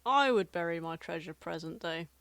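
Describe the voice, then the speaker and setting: A woman's voice: on the low side, animated, moderate pace, fairly bright tone, clean tone, dry recording. A woman co-hosting a podcast in casual, wry comedic chat. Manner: wry, jokey. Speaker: podcast co-host.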